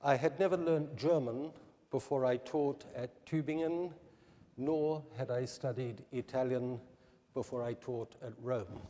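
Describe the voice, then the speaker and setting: A man delivers a formal prepared speech at an unhurried, deliberate pace. His voice is mellow and dark in tone. The sound carries a long reverb from a large hall. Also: medium pitch. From New Zealand. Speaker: candidate giving a public presentation.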